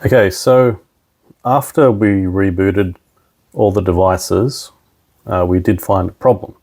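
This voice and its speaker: A man's voice: sits low, steady tone, takes it slowly, darkish tone. A man presenting a casual technical tutorial, explaining things informally step by step. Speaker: presenter, installer trainer.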